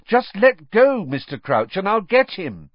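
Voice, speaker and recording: An anxious teenage schoolboy, played by a male reader, loud and insistent. The voice sits well above the reader's own, with a husky grain. The sound is dry and a bit dull. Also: high-pitched, big pitch swings, measured pace, no echo, pitched above the performer's own voice, full character voice. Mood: urgent. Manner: loud, clear, urgent. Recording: dull, slightly lo-fi recording.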